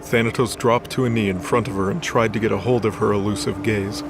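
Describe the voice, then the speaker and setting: A man's voice: on the low side, steady tone, fairly quick, noisy background. A man reading scripted story narration for an audio drama.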